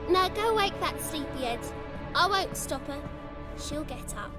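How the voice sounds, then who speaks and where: A woman voicing a mischievous, childlike little female creature in a fantasy video game, impish and excitable. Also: high voice, unhurried. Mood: eager, pleased. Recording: loud music behind.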